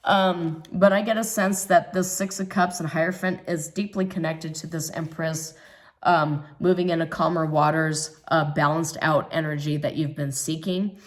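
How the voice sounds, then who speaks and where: Hoarse woman giving a tarot reading. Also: sits low, fairly even intonation, moderate pace. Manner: musing, hesitant with many ums, emphatic about others' jealousy. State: hoarse from recovering illness. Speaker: tarot reader. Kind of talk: casual tarot reading monologue.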